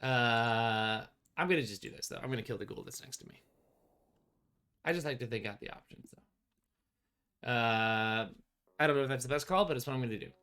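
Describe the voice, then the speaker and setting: A middle-aged man hosts a solo tabletop game in a casual, thinking-aloud style. His voice sits at an average pitch, with wry, playful exclamations. Music plays behind him. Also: a bit bright, clear, resonant tone. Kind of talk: casual play-through commentary, thinking aloud. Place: small indoor room with bookshelves.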